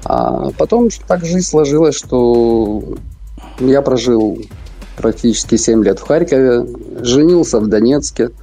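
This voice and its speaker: A man's voice: mid-range voice, expressive, unhurried, mellow, soft background music. A middle-aged man from Ukraine, talking earnestly on a live radio interview by phone, repeating his phrases with hesitant fillers. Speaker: interview guest. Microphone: phone link.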